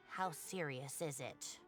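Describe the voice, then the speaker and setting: Older female academic for a game: chatty, concerned, expressive, on the low side. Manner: expressive, storytelling, genteel. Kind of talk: video game voice acting.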